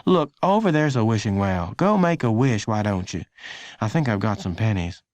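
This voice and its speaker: A man's voice: on the low side, expressive, normal speed, darkish tone, no room sound, lightly acted. A man voicing a tired, exasperated mother in a children's audiobook. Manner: weary, patient scolding.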